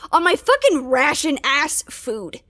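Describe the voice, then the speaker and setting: A woman's voice: sits high, big pitch swings, measured pace, bright, forceful tone, full character voice. An actress playing a small, hot-tempered female field agent, venting sulkily and indignantly in an audio drama monologue. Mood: sulky, indignant. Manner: sulky, sweary, indignant venting.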